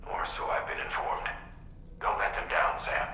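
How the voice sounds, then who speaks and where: Businesslike mission control over radio, formal, really piercing tone, echo on it. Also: male voice, moderate pace, sharp tone, slight background noise. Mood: formal, urgent. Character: businesslike male handler relaying orders over radio, dryly formal. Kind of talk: game mission briefing.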